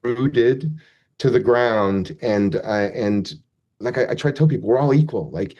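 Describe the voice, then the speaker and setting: A man's voice: medium pitch, measured pace, warm tone, lo-fi sound. A man speaking as an interview guest, rambling and heartfelt, sometimes poking fun at himself. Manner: rambling, heartfelt, self-deprecating. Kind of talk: casual interview chat.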